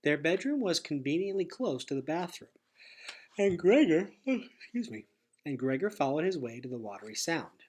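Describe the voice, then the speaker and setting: A man with a husky voice reading a children's novel aloud as expressive storybook narration. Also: a little high, moderate pace. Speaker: teacher reading a chapter aloud.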